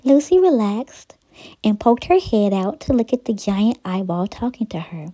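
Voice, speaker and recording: A woman's voice: medium pitch, very animated, moderate pace, mellow, low-quality recording. A woman reading a children's storybook aloud expressively, as a storyteller.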